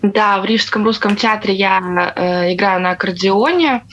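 A woman's voice: mid-range voice, normal speed, fairly bright tone. A young woman introducing herself as a co-host in an interview, on a dull, faintly noisy recording. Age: young adult. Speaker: co-host, student and theatre accordionist. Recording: dull sound with faint noise.

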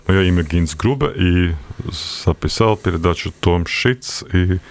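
A man with a deep voice hosts a radio talk program. He speaks briefly and politely as he thanks his guest and credits the crew. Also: a little slow. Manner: brief, polite questions and sign-off. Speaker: radio host. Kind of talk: radio interview hosting.

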